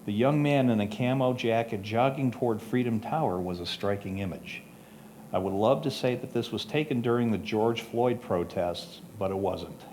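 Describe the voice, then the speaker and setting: A man's voice: lowish voice, moderate pace, a little mellow. A man reading prepared photo comments aloud at a photography club presentation. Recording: faint background noise.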